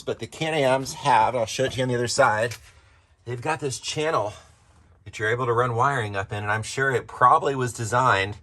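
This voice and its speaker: A man's voice: mid-range voice, fairly bright tone. A man with a mid-range voice walks viewers through a hands-on installation. He talks informally and explains things step by step, like the host of a DIY channel. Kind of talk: casual hands-on install tutorial.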